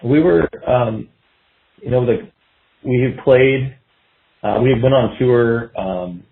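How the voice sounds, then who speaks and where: A man's voice: medium pitch, takes it slowly, gravelly. A man chatting casually as a musician guest in an interview, looking back in a hesitant, meandering, filler-heavy way. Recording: dull lo-fi roomy call sound.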